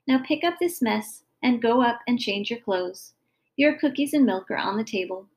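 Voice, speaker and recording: A woman's voice: a little high, lively intonation, moderate pace, lightly acted. A woman voicing a long-suffering, exasperated mother in a story, chiding in a sighing manner.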